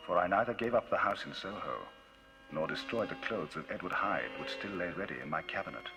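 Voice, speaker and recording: A man giving a dramatic, theatrical reading of a classic novel as the narrator of a vinyl audiobook, his pitch and loudness rising and falling widely. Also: lively intonation, a little fast, rough recording. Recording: vinyl audiobook with music bed. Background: music.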